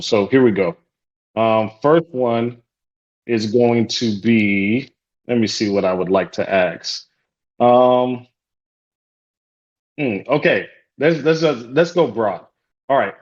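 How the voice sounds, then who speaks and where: A man's voice: medium pitch, dull audio. A man whose first language is Haitian Creole, facilitating an online support group in casual, upbeat chat that repeats its welcomes. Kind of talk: hosting an online group call.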